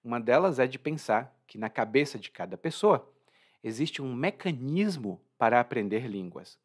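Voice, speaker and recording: A Brazilian man explaining words to language learners, with a slow, clear teaching delivery and frequent check-in questions. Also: mid-range voice, expressive.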